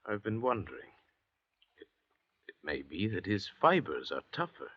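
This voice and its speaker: An actor playing a cultured, arrogant French doctor and murderer, speaking in a pompous, deliberate, theatrical 1940s radio style with a husky voice. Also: male voice, sits low, sing-song, full character voice. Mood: brooding. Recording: dull old restored radio recording.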